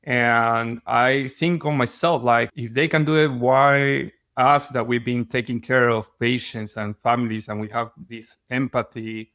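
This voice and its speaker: A man's voice: medium pitch, fairly even intonation, measured pace, few pauses, a bit bright, no room sound, studio quality. A middle-aged man from Peru with a Peruvian Spanish accent, talking conversationally as a podcast guest, restarting phrases as he goes. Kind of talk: conversational interview.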